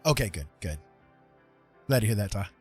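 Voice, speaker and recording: Man, livestream host, slightly low pitch, rough husky tone, rambling casual chat, music in background. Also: expressive, normal speed, darkish tone. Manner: rambling, conversational. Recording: faint music behind the voice.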